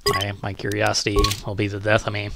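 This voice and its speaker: A man with a fairly low voice comments casually on a video game. He muses in short remarks to himself while game music plays clearly behind him. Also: monotone, fast. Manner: musing to himself. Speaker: gaming YouTuber. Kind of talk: casual let's play commentary.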